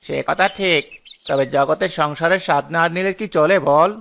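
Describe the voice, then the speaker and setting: A wry village friend played by a man ribs others in a high, creaky cartoon voice. The voice is gravelly, with birdsong and faint noise behind it. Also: normal speed, fairly bright tone, muffled recording. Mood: teasing. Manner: wry, ribbing. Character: wry, ribbing village friend at a tea stall. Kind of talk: cartoon character voice acting.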